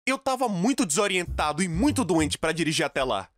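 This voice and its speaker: A Brazilian man delivers comedic storytime narration with a very lively pitch that swings widely. His manner is exaggerated and dramatic, full of casual slang. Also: a little fast, no room sound, studio quality. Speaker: storytime animation narrator, dubbing in Portuguese.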